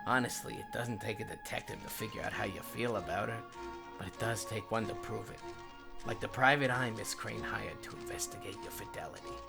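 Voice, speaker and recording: Folksy bumbling male sleuth, middle-aged, gravelly and animated, secretly sharp, comedy fandub over music. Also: fairly low pitch, a little fast, exaggerated voice. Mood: knowing. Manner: folksy, rambling, feigned bumbling. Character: deceptively humble, folksy, shrewd middle-aged male detective. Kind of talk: comic fandub acting.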